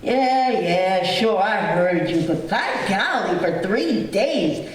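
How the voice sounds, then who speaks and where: A woman's voice: mid-range voice, lively intonation, slow. A woman playing a folksy, rambling, good-humoured shopkeeper in an old-time radio play. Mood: teasing, placating. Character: chatty, folksy butcher shopkeeper, a married woman. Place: theatre stage. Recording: echoey theatre, distant mic, faint noise.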